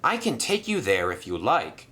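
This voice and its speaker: A man voicing a brisk, practical female truck in a storybook reading, matter-of-fact and a little skeptical. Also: medium pitch, huge pitch swings, pushed and bright.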